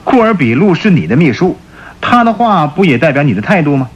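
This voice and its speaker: An actor playing a stern, suspicious and stubborn police chief in a radio drama, questioning insistently in a husky voice. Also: male voice, a little high, very animated, brisk, dull audio. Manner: probing, insistent, theatrical questioning.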